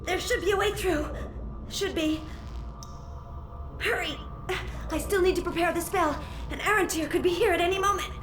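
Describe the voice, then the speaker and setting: A very high-voiced young woman mage in a fantasy game, earnest and anxious. She sounds urgent and caring, set back in an echoey space with music behind her. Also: normal speed, pauses often, distant mic. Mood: anxious, hurried. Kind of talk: video game voice acting.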